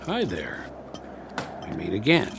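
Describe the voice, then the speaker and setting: An actor playing a mouthy, eager-to-please American soldier in a radio drama, talking in a folksy, stammering way. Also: male voice, on the low side, huge pitch swings, takes it slowly, vocal fry, background noise, heavily acted. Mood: chatty, reassuring. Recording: music under the voice.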